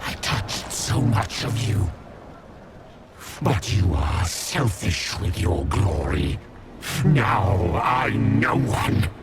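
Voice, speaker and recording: A man voicing a jealous, tormented demon in a fantasy game, speaking in a drawn-out theatrical way. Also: low voice, animated, noisy background, full character voice. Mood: bitter menace. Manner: slow, drawn-out, theatrical delivery. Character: envy demon, menacing, jealous, tormented male monster. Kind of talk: video game villain acting.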